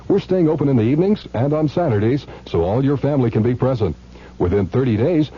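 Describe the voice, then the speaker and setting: A male commercial announcer with a husky, mid-pitched voice reads vintage radio copy. His intonation is lively, and the recording is a muffled old broadcast. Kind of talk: scripted vintage radio advertisement.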